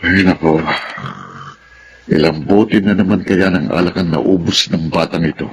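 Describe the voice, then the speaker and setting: A middle-aged Filipino father in a radio play, patient and caring toward his grown children. The voice is very deep, with faint noise and ambience behind it. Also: steady tone, unhurried. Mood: weary concern. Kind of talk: radio drama acting.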